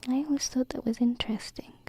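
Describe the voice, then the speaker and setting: A woman voicing a gentle, wistful alien princess in a soft, hesitant whisper for an ASMR roleplay, close to a binaural mic. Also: a little high, steady tone, vocal fry, husky, no room sound. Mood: shy, musing. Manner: hesitant, tender, slow pauses. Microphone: close binaural microphone.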